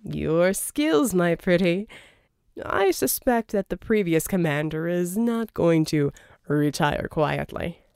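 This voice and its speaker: A woman voicing a smug, theatrical male villain tycoon, mellow. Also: on the low side, animated, slow pace, creaky, clear, resonant tone, no echo, clean studio sound, pitched below the performer's own voice, full character voice. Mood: menacing.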